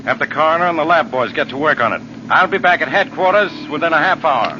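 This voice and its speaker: An actor playing a gruff, blustering police inspector in a 1940s radio crime drama. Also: male voice, on the high side, quick pace, close to an everyday voice. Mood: businesslike. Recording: muffled, very noisy old radio recording.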